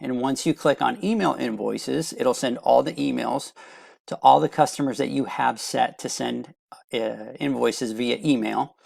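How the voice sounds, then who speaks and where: Gravelly-voiced man presenting a software demo. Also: medium pitch, unhurried, no room sound, studio quality. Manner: plain step-by-step instructional delivery. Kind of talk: screen-share product walkthrough, semi-scripted explanation.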